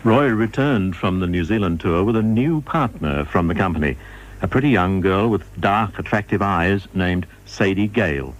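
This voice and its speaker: Low, expressive Australian male narrator. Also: moderate pace, poor audio. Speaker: documentary narrator and interviewer. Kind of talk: scripted biographical radio narration.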